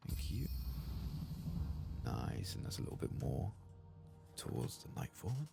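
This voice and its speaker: A man softly murmuring and half-whispering gameplay commentary in a husky, breathy voice, like an ASMR host. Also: low-pitched, wildly swooping pitch, unhurried, soft background music.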